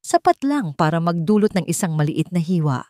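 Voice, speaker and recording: Narrator: woman with a slightly low voice, dramatic storytelling delivery, dry recording. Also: big pitch swings, fairly quick, dark tone, no echo.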